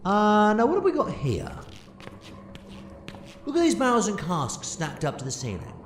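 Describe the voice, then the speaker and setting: An actor playing an eccentric, quick-witted alien doctor in an audio drama, with a theatrical, wry manner. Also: male voice, sits high, wildly swooping pitch, a little hiss or hum. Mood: brisk, curious. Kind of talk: full-cast audio drama acting. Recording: roomy sound with music behind.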